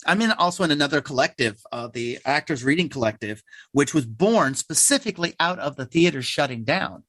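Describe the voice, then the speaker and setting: A man's voice: a little high, animated, brisk, fairly bright tone, dry recording. A man speaking conversationally as a theater guest in an interview, telling things like a storyteller.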